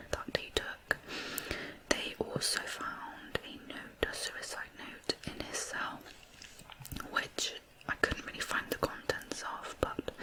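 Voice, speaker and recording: A woman narrates true crime in ASMR style, in a soft, breathy, unhurried whisper. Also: poor audio.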